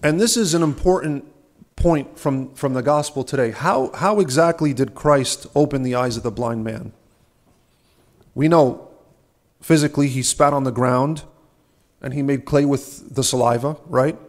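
A young man, a subdeacon, giving an earnest, measured sermon at a church lectern, asking rhetorical questions. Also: mid-range voice. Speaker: subdeacon preaching. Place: large ornate church, at a lectern. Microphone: lectern microphone.

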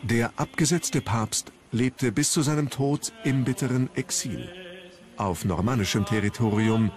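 A man reading scripted historical documentary narration in a measured, formal storytelling manner. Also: mid-range voice. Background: background music.

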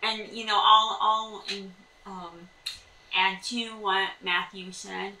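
A woman's voice: mid-range voice, fairly even intonation, slow pace, bright, forceful tone. An American woman in her thirties co-hosting a homesteading vlog, chatting through tips to camera. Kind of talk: explanatory chat to camera with tips. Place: indoors at a table in a small sunlit room by windows. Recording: echoey room, distant mic, faint noise.

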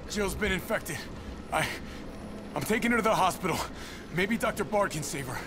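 An American actor playing a protective, determined young soldier in a game, talking in terse, urgent phrases. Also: male voice, high-pitched, expressive, normal speed, noisy background. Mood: urgent, alarmed. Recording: loud music behind the voice. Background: loud cinematic music.

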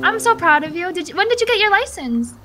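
A woman's voice: extremely high pitch, big pitch swings, quick pace, loud music behind the voice. A woman talking in a roleplay game, fussy and exasperated, repeating herself over and over. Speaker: fellow roleplay player. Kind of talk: casual in-game roleplay chat.

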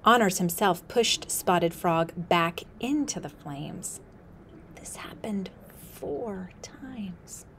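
A woman in her thirties reads a picture book aloud, slow and expressive, sometimes dropping to a whisper, indoors on a close camera mic. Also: on the low side, slight background noise. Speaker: storyteller. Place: indoor room with a window.

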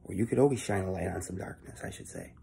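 A young man giving a casual motivational monologue into his phone at home, keeping his voice low and swearing freely. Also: fast talker, creaky, warm tone, breathy edge. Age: young adult. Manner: talking low and swearing often, earnest. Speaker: vlogger. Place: indoor room at home. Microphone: phone held close at arm's length.

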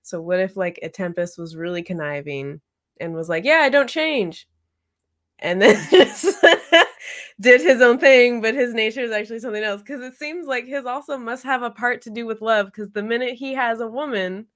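A woman's voice: a little high, normal speed. A woman speculating casually in a book discussion, tentative, musing through a string of questions. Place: room at home, video call.

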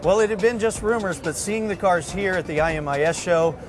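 A man's voice: sits high, fairly quick, crowd noise. A man hosting a motorsport TV show, giving a presenter-style intro and asking interview questions at a trade show. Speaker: TV show host. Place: indoor trade show hall. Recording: music bed under voice.

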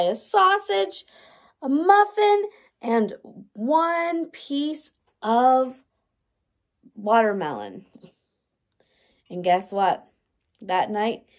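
A woman in her thirties, a teacher reading a picture book aloud to young children in an animated way, with questions for them. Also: medium pitch. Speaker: early learning teacher. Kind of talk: children's story time read-aloud. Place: indoors by a large window in a room at home.

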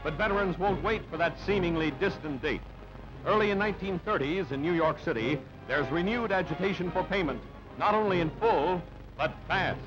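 Dull-sounding vintage newsreel man, high-pitched. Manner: clipped, punchy, dramatic delivery. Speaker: newsreel narrator. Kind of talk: scripted newsreel narration. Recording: dull band-limited old newsreel soundtrack. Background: music.

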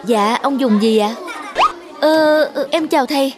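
A gentle young mother from a cartoon acted by a woman. Her voice sits fairly high and stays determined, with music clearly playing behind it. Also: unhurried, a crowd in the background. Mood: flustered, polite. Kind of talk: cartoon dub acting.

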